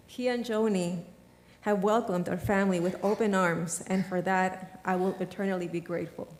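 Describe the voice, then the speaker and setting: A woman's voice: on the low side, steady tone, normal speed, darkish tone, a little hiss or hum. A woman giving a prepared, earnest testimony at a service in a synagogue sanctuary. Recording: long hall reverb.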